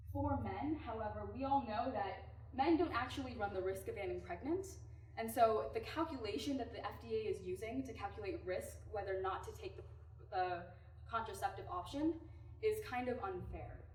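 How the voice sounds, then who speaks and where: A woman from California gives a prepared pitch presentation. She addresses the audience directly and engagingly. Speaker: team presenter. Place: presentation room. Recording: echoey room, mic far off.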